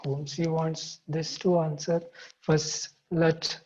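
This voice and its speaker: A man's voice: a little high, level tone, slow, darkish tone, dull audio, slight background noise. A man with an Indian accent, a native Hindi speaker, teaching as a guru in short, pondered phrases during an online question-and-answer session. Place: online video meeting.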